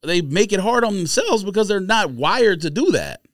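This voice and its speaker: A man's voice: sits high, big pitch swings, moderate pace, no room sound, studio quality. A man co-hosting a casual sports podcast, giving analysis in a blunt, insistent, argumentative way. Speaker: podcast co-host.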